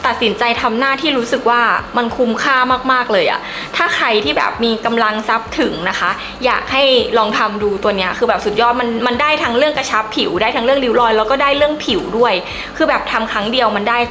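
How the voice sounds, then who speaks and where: Bright, chatty young woman vlogger. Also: on the high side, fast talker, hardly any pauses, slight background noise. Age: young adult. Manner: chatty, enthusiastic, emphatic. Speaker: beauty vlogger. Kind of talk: casual review to camera.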